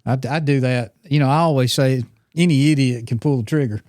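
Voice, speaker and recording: A man's voice: medium pitch, brisk, a little mellow, no room sound, studio quality. A man around sixty, co-hosting a hunting podcast in casual, rambling, teasing chat. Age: 60s. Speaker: podcast co-host.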